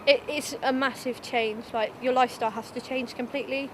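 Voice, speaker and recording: A woman's voice: on the high side, steady tone, brisk, noisy background. A 19-year-old woman giving a candid documentary interview, informal and a little hesitant, as if thinking aloud. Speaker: young mother being interviewed.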